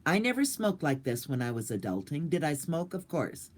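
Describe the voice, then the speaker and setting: Older woman vlogger, chatty and goofy, pitch bouncing all over, casual livestream talk. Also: big pitch swings, moderate pace.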